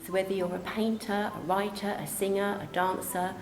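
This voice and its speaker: A woman's voice: medium pitch, little pitch movement, normal speed. A woman on a college's staff, talking conversationally in a promotional interview, recorded in an echoey space. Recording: echoey space, faint background ambience.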